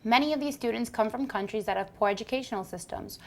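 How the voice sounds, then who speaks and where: A woman with a fairly high voice reads a news segment from a script. She moves steadily through facts and figures in a plain presenting manner. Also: fast.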